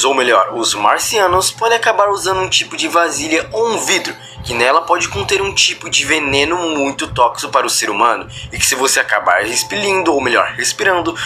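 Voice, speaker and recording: A Brazilian man with a slightly high voice explains things as a commentator, in a wordy and roundabout manner. Prominent music plays behind him the whole time. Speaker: video host. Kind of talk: reaction commentary explaining a horror video. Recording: loud background music under the voice.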